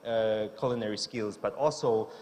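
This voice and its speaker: A man's voice: fairly low pitch, fairly even intonation, normal speed, a little mellow. A middle-aged man from Hong Kong, a chef giving an unscripted speech on a conference stage, full of fillers and restarts. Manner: hesitant, many fillers and restarts. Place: large conference hall stage. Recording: long hall reverb.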